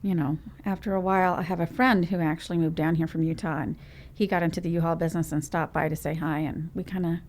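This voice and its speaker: A woman from Trinidad and Tobago, a business owner, telling her story in a lively, rambling way as an interview guest. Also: low-pitched, quick pace, hardly any pauses. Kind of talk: conversational interview.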